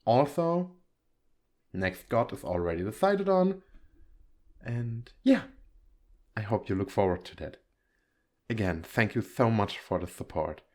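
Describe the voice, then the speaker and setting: A man's voice: on the low side, lively intonation, a little slow, pauses often, a little mellow. A man giving a casual outro to viewers as an audio creator, rambling, giggly and often correcting himself.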